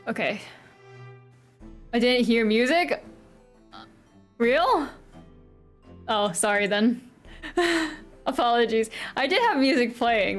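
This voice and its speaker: An American woman streaming live, chatting casually and giggly with her viewers between reading game lines. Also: a little high. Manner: chatty, giggly, talks to chat. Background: faint pop music playlist.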